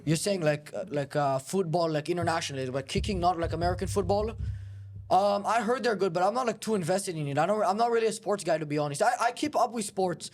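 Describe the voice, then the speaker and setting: Deadpan young male comedy host. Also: high voice, fast, slight background noise. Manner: deadpan, provocative.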